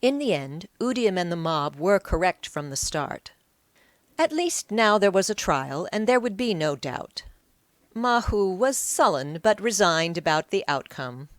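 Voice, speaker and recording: A woman narrates a story for an audiobook. Her voice sits a bit low and moves with lively intonation, recorded very dry and close. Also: a little slow, no echo.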